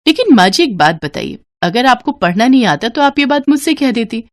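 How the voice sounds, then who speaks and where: A woman's voice: on the high side, sing-song, a little fast, voice pushed up. A woman voicing a kind, dutiful young daughter-in-law in a story, polite and solicitous. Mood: reassuring.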